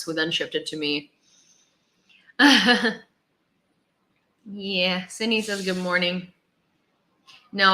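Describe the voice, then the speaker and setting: Young woman from Ukraine, livestream host, chatty and rambling, bright voice, echoey room. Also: lowish voice. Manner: chatty, rambling, many fillers and restarts. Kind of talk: casual livestream chat, reading viewer comments.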